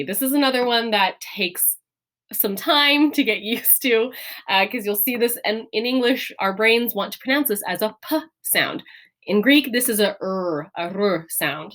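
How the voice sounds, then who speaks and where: Young woman teacher, patient step-by-step explainer, medium pitch, light laughs between points. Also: a bit bright. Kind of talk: classroom lesson explaining letters.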